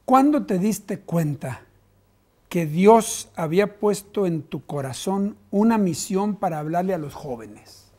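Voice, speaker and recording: High-voiced man hosting a studio interview. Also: animated, measured pace. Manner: warm-prompting questions, stammering restarts. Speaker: host, university rector. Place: TV studio set.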